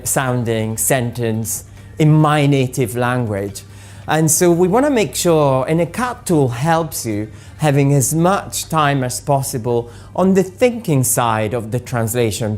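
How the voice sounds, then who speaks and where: Very low-voiced woman explaining. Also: a little mellow. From Italy. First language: Italian. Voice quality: patient, explaining step by step with frequent ums. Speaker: software presenter. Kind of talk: explanatory talk about translation software.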